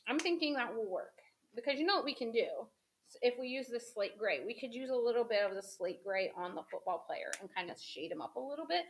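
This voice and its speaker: A woman's voice: a little high, animated, brisk, slight background noise. A woman hosting a crafting demo, chatting casually to viewers as she paints, a little sniffly from a cold. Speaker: craft host.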